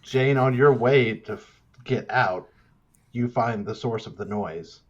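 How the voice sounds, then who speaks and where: A man's voice: mid-range voice, takes it slowly. A man casually improvising narration as the director in a tabletop horror-comedy game. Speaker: player acting as director of the story. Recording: slightly dull, muffled audio.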